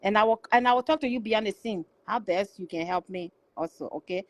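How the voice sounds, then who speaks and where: A Liberian woman calls in to a live show. She speaks eagerly at a middle pitch and keeps saying yes and yeah. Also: quick pace. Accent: Liberian English. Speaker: caller, social worker. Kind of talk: phone-in chat.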